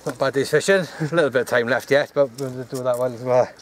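A man's voice: medium pitch, fairly even intonation, fast talker. A man, chatting casually as an angler outdoors, recorded on a camera. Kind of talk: casual chat. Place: grassy bank of a fishing lake, outdoors. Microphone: camera a few steps away. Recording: distant outdoor camera audio, faint noise.

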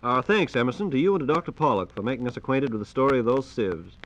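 A man's voice: mid-range voice, lively intonation, quick pace, slight background noise. An American man hosting a 1940s radio program with polite, formal, scripted announcing. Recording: dull, band-limited 1940s radio transcription disc.

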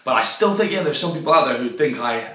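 Fast, bright-voiced young man. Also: mid-range voice, animated, echoey room. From Scotland. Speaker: guest rapper. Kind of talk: casual chat. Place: home studio room.

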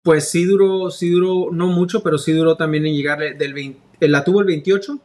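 A man in his thirties hosts a video-call interview, and his voice sits a little high for a man. He talks in a friendly, encouraging way, close to a big studio microphone in a small room. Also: fairly even intonation, fairly quick, darkish tone. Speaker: host. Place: small room with grey wall. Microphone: large studio mic on arm.

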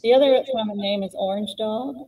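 A woman's voice: mid-range voice, fairly quick, over a noisy crowd, rough recording. A middle-aged woman co-hosting a live plant clinic on a video call, chatty, laughing often and saying um a lot. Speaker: co-host. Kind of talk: live online plant advice show. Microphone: webcam on a video call.

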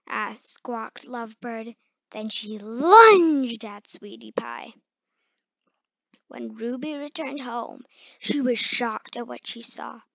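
A woman reading a children's picture book aloud, sometimes halting over harder words. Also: on the high side, very animated, gravelly, fairly bright tone. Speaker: read-aloud storyteller. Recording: muffled, echoey room recording.

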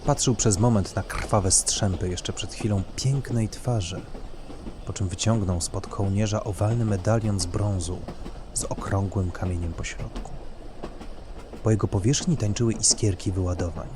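A man's voice: lowish voice, moderate pace, background noise. A man narrating a scripted dramatic story as its reader.

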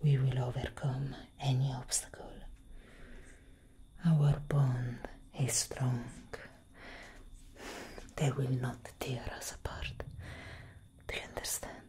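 Slow whispering elder vampire sister, acted by a woman. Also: extremely low pitch, level tone, noisy background. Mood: solemn, protective. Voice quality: soft, breathy whisper. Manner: slow, intimate, deliberate. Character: elder vampire sister, tender, protective, gravely solemn woman. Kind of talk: whispered ASMR roleplay. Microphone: close microphone.

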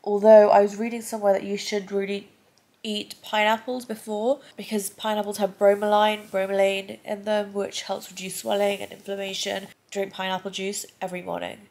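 Congested young woman with a creaky voice. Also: medium pitch, level tone, moderate pace, a little mellow. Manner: chatty, candid, rambling. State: congested, stuffy nose. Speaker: vlogger. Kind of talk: casual recovery vlog to camera. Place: bedroom, indoors.

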